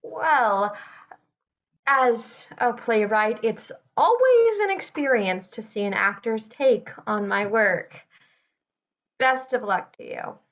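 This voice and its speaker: Slow, self-important playwright. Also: female voice, a little high, lively intonation, a bit bright, full character voice. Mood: coolly polite. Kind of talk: naturalistic stage play acting over video call. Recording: slightly muffled video-call audio.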